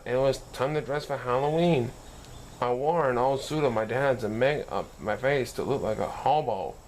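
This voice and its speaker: A man's voice: mid-range voice, a little hiss or hum, low-quality recording. A man reading a children's story aloud as narration, now and then stumbling and correcting himself. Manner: occasional stumbles and self-corrections while reading. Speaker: story reader.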